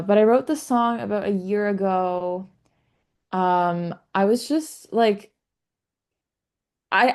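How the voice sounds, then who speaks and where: A woman's voice: medium pitch, darkish tone. Chatty nineteen-year-old woman. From Canada. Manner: chatty, frequent "like" fillers. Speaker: singer-songwriter guest. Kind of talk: casual interview. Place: remote call.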